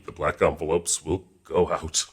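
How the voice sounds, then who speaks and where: An actor playing a weary, reluctant president in an audio drama, speaking in a curt, resigned manner. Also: male voice, extremely low pitch, flat delivery, normal speed, husky, heavily acted. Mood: anguished resignation. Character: weary, reluctant male president of a conquered Earth.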